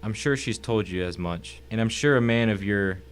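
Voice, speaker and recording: A man's voice: lowish voice, moderate pace, a little hiss or hum, close to an everyday voice. A man playing a smug, wealthy, cultured villain in an audio drama, speaking in a pompous, formal, mocking way. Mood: smug contempt. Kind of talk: dramatic audio story acting.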